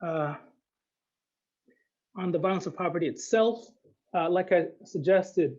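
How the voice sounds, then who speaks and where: A man speaking as an academic guest in an unscripted discussion, thinking aloud in a hesitant, halting way. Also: high voice, steady tone, a little mellow, muffled recording.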